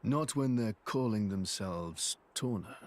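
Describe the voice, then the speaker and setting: A roguish game adventurer. He is unhurried and very varied in pitch, with a breezy, teasing drawl. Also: lively intonation, a little mellow. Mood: wry.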